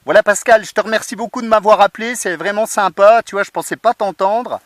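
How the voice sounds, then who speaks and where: Higher-pitched Frenchman chatting on amateur radio. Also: steady tone, brisk, fairly bright tone, dry recording. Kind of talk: casual radio chat. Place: outdoors in a field under a tree. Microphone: portable radio transceiver microphone.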